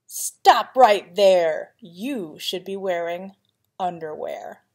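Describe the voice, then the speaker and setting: A woman's voice: mid-range voice, expressive, slow, a little mellow. A woman reading a children's picture book aloud in a playful, dramatic manner, with chatty asides to young listeners. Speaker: elementary school vice principal reading to pupils.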